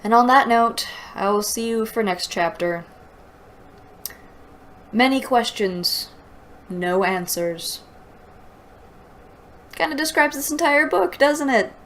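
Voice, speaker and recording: A woman's voice: fairly high pitch, lively intonation, slow, pauses often, a bit bright, slight background noise. A woman hosting a book review, reading aloud and commenting with exasperated sarcasm. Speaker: host of a book review show.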